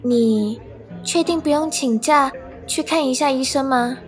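A woman's voice: sits high, unhurried. A woman playing a guarded, scheming teenage schoolgirl with a bitter edge in a radio drama. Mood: cool politeness. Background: faint music.

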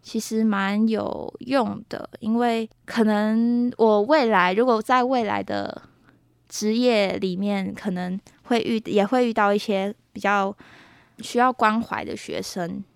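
A woman's voice: mid-range voice, measured pace, gravelly, clean tone, dry recording, studio quality. A woman in her early twenties with a Taiwanese Mandarin accent, a student answering casually in an interview. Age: early 20s.

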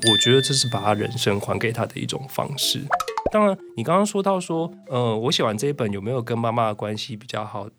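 A man's voice: mid-range voice, expressive, fast, music in the background. A man with a Taiwanese Mandarin accent, talking frankly and reflectively as a guest in a personal interview. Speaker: interviewed author. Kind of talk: candid personal interview talk.

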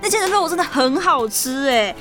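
A woman's voice: high voice, expressive, moderate pace, a bit bright, faint music behind. A woman playing an anxious, sincere young daughter in an audio drama, with a Taiwanese Mandarin accent and a pleading manner. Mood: casual. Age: young adult. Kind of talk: audio drama acting with reflective narration.

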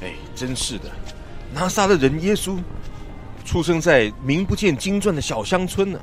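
An actor playing a talkative, weary village traveler in a radio drama, speaking in an emphatic, sighing, storytelling way. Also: male voice, a little high, animated, moderate pace, soft background music, lightly acted. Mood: earnest.

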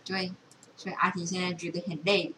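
A woman's voice: lowish voice, little pitch movement, a little fast, bright, forceful tone. A woman, chatting casually as a co-host. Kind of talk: casual chat. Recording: distant mic, clear background noise.

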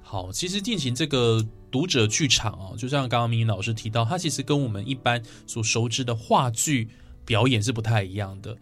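A man's voice: mid-range voice, expressive, fairly quick. Taiwanese-accented man hosting over music. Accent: Taiwanese Mandarin. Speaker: programme host. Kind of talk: studio interview.

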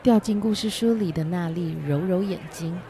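A woman's voice: fairly low pitch, moderate pace, dark tone, a little hiss or hum. A woman narrating a scripted children's story as its storyteller. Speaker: storyteller and host.

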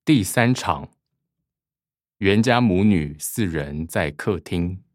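A man giving measured, formal scripted narration for a radio drama. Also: deep voice, animated, no room sound, clean studio sound. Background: music.

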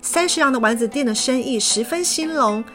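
Lively woman storyteller with music. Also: sits high, fairly quick. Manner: lively, expressive. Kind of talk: children's story narration. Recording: background music.

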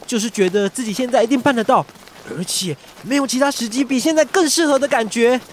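A man's voice: extremely high pitch, a little hiss or hum. A man with a Taiwanese Mandarin accent voicing an impulsive, cheerful young rich heir who laughs and gets flustered easily. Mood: eager. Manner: playful, eager, quick to laugh and fluster. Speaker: voice actor. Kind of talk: amateur radio drama acting.

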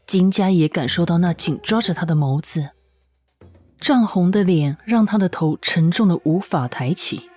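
一位女性有声小说旁白，照着剧本富有表情地讲述故事。. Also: female voice, fairly low pitch, animated, moderate pace, darkish tone. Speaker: audiobook narrator. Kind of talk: expressive scripted story narration. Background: background music.

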